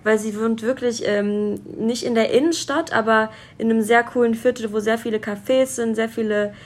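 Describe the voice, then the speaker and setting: A woman's voice: on the high side, fairly even intonation, moderate pace. Young German woman hosting a podcast. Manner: friendly, many fillers, affirming hums. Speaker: podcast host. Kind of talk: casual interview chat. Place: guest's home in a city flat.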